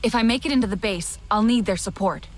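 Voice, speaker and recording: A woman's voice: medium pitch, fast, lightly acted. A woman playing a young alien heroine, an earnest outsider, in a plain, conversational video game performance. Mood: determined. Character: young Na'vi heroine, earnest outsider learning her people's ways. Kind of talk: naturalistic video game acting.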